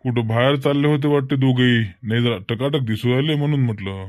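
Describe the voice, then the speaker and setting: A man's voice: medium pitch, fast, a little mellow, dry recording, studio quality, natural voice. A man voicing a village schoolmaster in a comic cartoon, chatting and reasoning in a folksy way with a rural Varhadi Marathi accent. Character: village schoolmaster chatting about local gossip.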